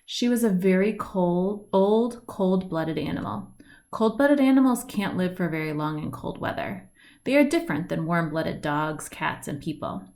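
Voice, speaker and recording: Woman reading a storybook with lively intonation. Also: lowish voice, moderate pace, gravelly. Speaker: reader of a children's picture book. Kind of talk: read-aloud storybook narration. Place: indoors at home, at a wooden table by a window.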